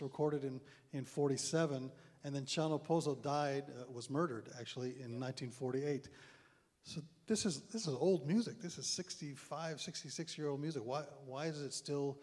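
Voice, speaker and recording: A man's voice: medium pitch, darkish tone. A middle-aged man hosting an interview on a concert hall stage, affable and self-deprecating, asking long questions into a handheld mic. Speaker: onstage interviewer, host. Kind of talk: onstage interview.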